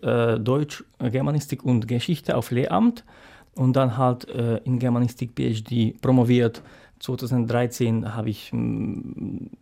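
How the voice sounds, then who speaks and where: A man's voice: mid-range voice, vocal fry, mellow. A man in his thirties, a university researcher, introduces himself briefly as a panel guest, with a few fillers.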